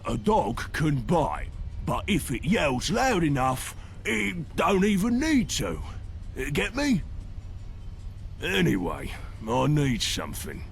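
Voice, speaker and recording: An actor playing a simple, good-hearted big brawler in a video game, with a gravelly British voice and a halting, earnest manner that fumbles for words. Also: male voice, a little high, wildly swooping pitch, soft background music, exaggerated voice. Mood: pleading, hesitant. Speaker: companion character in a video game.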